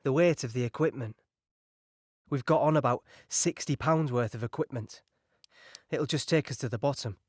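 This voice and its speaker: A man's voice: mid-range voice, normal speed, long pauses, no echo, clean studio sound, lightly acted. A man playing an earnest, fearful British soldier in an audio play, recounting battle in a reflective and emphatic way. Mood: frightened. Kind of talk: dramatic first-person monologue in audio play.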